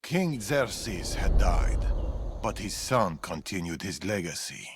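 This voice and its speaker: An actor playing a resolute, guarded older Persian assassin, measured and grave, in a video game drama. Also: male voice, low-pitched, big pitch swings, music in the background, heavily acted.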